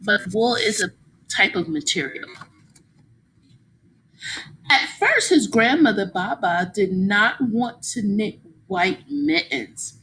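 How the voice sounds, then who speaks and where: A woman reading a children's story aloud in an expressive, encouraging teacher's manner, pausing to explain and ask her young listeners questions. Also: mid-range voice, slight background noise, low-quality recording.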